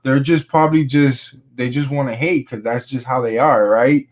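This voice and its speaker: A man's voice: mid-range voice, fairly even intonation, normal speed. Laid-back man talking sneakers. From Miami, Florida. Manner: laid-back, slangy, says "bro" often. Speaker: sneaker vlogger. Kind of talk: casual chat.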